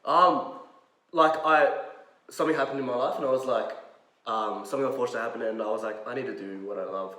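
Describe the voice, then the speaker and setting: A man's voice: mid-range voice, fairly quick, pushed and bright. A man in his twenties with an Australian accent, a dancer and podcast guest telling stories in easygoing slang, full of "like" and "bro". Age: 20s. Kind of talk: casual storytelling. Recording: roomy sound from a distant mic.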